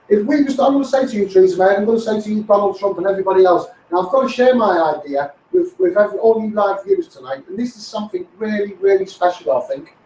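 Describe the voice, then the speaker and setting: Male, Scouse, high voice. Insistent amateur campaigner delivering an impassioned monologue, recorded with heavy room echo. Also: darkish tone, a little hiss or hum. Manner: insistent, repetitive, directly addressing politicians. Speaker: campaigning video host. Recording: echoey, distant from the microphone.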